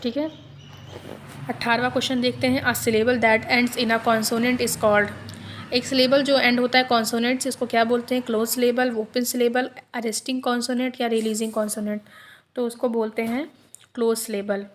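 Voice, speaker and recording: A woman talks through exam questions like a teacher, reading each one out slowly before she explains it. Her voice sits a little high and barely moves in pitch. A faint hiss of noise runs under her speech. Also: level tone. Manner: reads English questions slowly, then explains in Hindi. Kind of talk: exam-prep lesson reading out multiple-choice questions.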